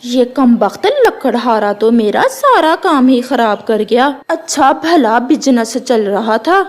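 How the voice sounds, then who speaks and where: A woman's voice: on the high side, lively intonation, unhurried. A woman voicing a greedy, grumbling crow landlord with a sour manner in a cartoon.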